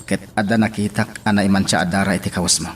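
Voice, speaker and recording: An actor playing a hurt, probing friend in an Ilocano radio drama. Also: male voice, low voice, fairly even intonation, fast, music in the background, natural voice. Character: hurt, probing man confronting his close friend.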